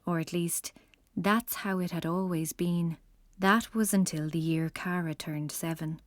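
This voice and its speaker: A woman's voice: on the low side, steady tone, measured pace, dry recording. A woman narrator reading a scripted children's story.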